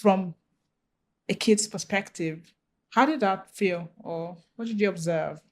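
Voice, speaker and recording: A woman's voice: medium pitch, measured pace, a little hiss or hum. A woman with a Nigerian accent hosting a podcast, asking questions in a curious, easygoing way and laughing often. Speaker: podcast host. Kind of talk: casual interview chat.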